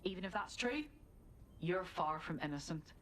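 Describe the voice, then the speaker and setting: A woman's voice: on the low side, animated, normal speed, fairly bright tone, a little hiss or hum. A woman playing a firm, stern resistance interrogator in a video game scene. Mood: stern, accusing. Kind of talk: video game cutscene acting.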